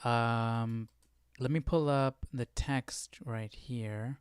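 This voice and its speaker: Clear-voiced man chatting casually on a livestream. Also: medium pitch, steady tone, no echo, studio quality. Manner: informal, halting, thinking aloud while setting up. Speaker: host of a live Bible study stream.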